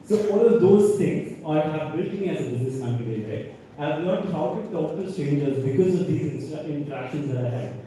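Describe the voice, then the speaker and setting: Echoey young Indian man, very mellow voice. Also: fairly high pitch, animated, brisk. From North India. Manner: conversational, often ends points with "right?". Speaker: alumni panelist, businessman. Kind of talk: informal panel talk with anecdotes. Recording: echoey hall, distant mic, faint noise.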